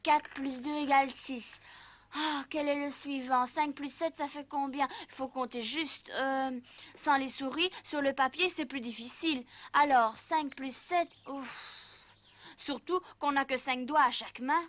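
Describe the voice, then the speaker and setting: A woman's voice: high voice, steady tone, a little slow, fairly bright tone. A woman voicing a mischievous, easily distracted little wooden puppet boy in a French cartoon dub, cheeky and hesitant. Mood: concentrating, hesitant. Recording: music behind the voice.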